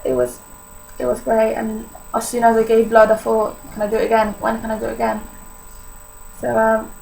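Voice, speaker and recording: A woman's voice: on the high side, completely flat delivery, normal speed, gravelly, a little mellow, slight background noise, rough recording. An eighteen-year-old woman from Poland with a Polish accent, talking candidly to camera in a personal vlog, with many fillers. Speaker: vlogger.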